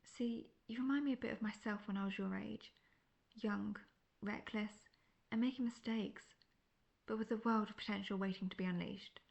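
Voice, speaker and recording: Gravelly, world-weary monster hunter played by a woman. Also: mid-range voice, fairly even intonation, moderate pace, darkish tone, lo-fi sound, close to an everyday voice. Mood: friendly, advising. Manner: wry, conversational, self-assured. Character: seasoned, cocky, world-weary female monster hunter, friendly mentor. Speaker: voice actor in audio roleplay. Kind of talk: fantasy roleplay audio acting.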